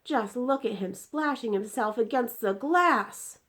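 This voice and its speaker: A woman's voice: a little high, unhurried, fairly bright tone, voice pushed up. A woman voicing an indignant, distressed giant from a storybook, exclaiming in mangled grammar and drawing out words emphatically. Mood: emphatic explaining.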